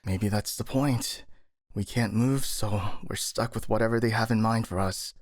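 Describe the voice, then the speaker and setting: A man's voice: on the low side, normal speed, dry recording, clean studio sound, natural voice. A man playing a skeptical, worrying captured master ninja, sighing and hesitant, in a scripted ASMR audio roleplay. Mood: strained.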